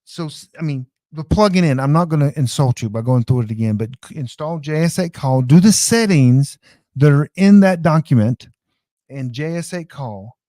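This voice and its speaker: Folksy man with a slightly high voice. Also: lively intonation, moderate pace, mellow, no echo. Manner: folksy, informal, addresses viewers as "people". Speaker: online class presenter demonstrating radio gear. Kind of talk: casual live hands-on tutorial. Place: indoors.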